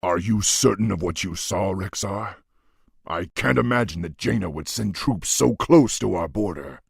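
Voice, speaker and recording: An actor playing a stern, commanding orc war chief in a video game, authoritative and deliberate, with a gravelly, husky voice. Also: male voice, deep voice, no echo, clean studio sound, exaggerated voice. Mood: concerned.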